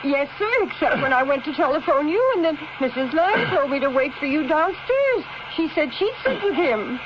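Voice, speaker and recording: An actress playing a dutiful, plain-spoken night nurse who speaks with deference, in a seventies radio drama. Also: female voice, sits high, big pitch swings, normal speed. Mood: flustered, defensive. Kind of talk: 1970s radio drama acting. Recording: noisy, muffled vintage radio recording.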